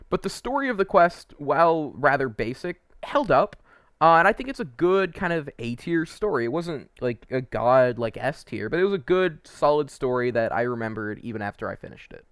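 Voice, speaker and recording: A man's voice: mid-range voice, fairly bright tone, no room sound. Casual man reviewing games, dry close sound. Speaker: video creator and host. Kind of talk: casual opinionated video game review commentary.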